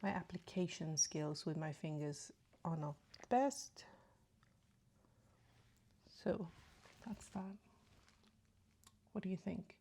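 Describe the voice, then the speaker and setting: A woman chatting casually through a makeup tutorial, speaking softly and often half-whispering, with a slight cold and a sore throat. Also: fairly low pitch, takes it slowly, pauses often, breathy edge. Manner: soft, often half-whispered asides while applying makeup. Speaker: beauty vlogger. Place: at home in front of a mirror.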